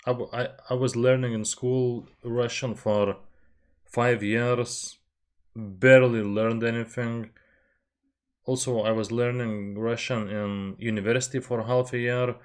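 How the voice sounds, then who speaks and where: A man's voice: mid-range voice, fairly even intonation, a little slow. Young man chatting on a headset. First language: Lithuanian. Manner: chatty, self-deprecating, laughs often. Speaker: reaction channel host. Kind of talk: casual music reaction commentary. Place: small bedroom at home. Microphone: headset with desk mic, webcam framing.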